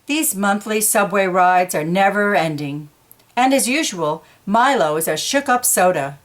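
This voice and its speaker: A woman's voice: medium pitch, expressive, measured pace. A woman reading a picture book aloud to children, mixing storytelling with informal conversational asides about the pictures. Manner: explains pictures in informal asides between read lines.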